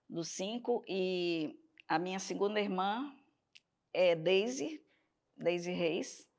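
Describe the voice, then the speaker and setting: Older northeastern Brazilian woman, gravelly. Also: medium pitch. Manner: reminiscing, with pauses and restarts. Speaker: interviewee. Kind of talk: oral history interview.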